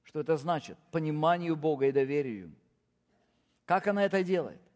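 A man's voice: on the high side, lively intonation, a little fast, darkish tone. Man preaching a sermon in a reverberant hall. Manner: emphatic preaching with rising stresses and enumerated points. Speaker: pastor.

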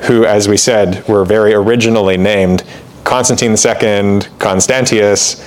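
A man with a somewhat low voice lectures on church history in a loose, conversational way. He pads his sentences with fillers and side remarks. When he reads a quotation aloud, he leans into it with more weight. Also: steady tone, normal speed. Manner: conversational, with frequent fillers and asides. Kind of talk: informal lecture.

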